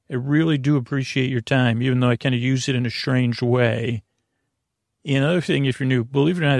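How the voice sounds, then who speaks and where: Man, gravelly fry, close dry sound, halting bedtime ramble, gently silly, unfinished thoughts. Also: mid-range voice, no echo. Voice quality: creaky, gravelly. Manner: meandering, halting, trails off mid-thought; deliberately dull, gently silly. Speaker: podcast host. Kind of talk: rambling bedtime podcast monologue.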